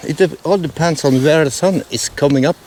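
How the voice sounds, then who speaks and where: A man's voice: fairly high pitch, brisk, a little mellow, a little hiss or hum. A man from Norway speaking English with a Norwegian accent, explaining photo planning in a casual vlog, hesitant with many fillers and restarts. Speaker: photography vlogger.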